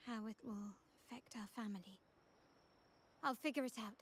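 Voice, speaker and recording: A woman's voice: a little high, normal speed, a little hiss or hum, natural voice. An actress playing a poised young noblewoman and family heir in a video game, formal, composed and resolute. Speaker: game character. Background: game music.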